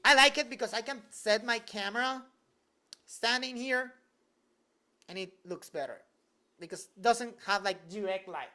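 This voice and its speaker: A man's voice: high voice, normal speed, pauses often, pushed and bright. Young man, casual livestream Q&A, joking, filler-heavy, bedroom desk. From Venezuela. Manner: loose and joking, heavy use of "like". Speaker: YouTuber answering live chat. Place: home bedroom at his desk.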